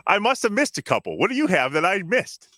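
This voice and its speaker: A man in his thirties co-hosts a casual sports podcast with upbeat, playful energy. His voice is bright and forceful, swinging widely in pitch, in a dry studio-like sound. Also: wildly swooping pitch, moderate pace, dry recording. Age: 30s. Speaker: podcast co-host.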